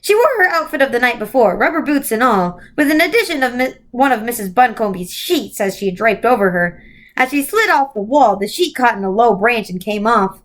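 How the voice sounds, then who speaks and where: Female storyteller for a kids' novel read-aloud: high voice, very lively intonation, expressive delivery. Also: moderate pace. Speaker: volunteer storyteller reading to children.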